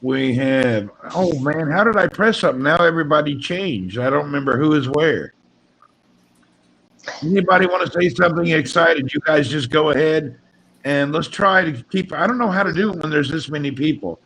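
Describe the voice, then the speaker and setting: A man's voice: fairly high pitch, animated, normal speed, a bit bright. Guy hosting a laid-back live group chat, some noise behind him. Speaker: livestream host. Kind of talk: casual group livestream chat. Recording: noisy live stream.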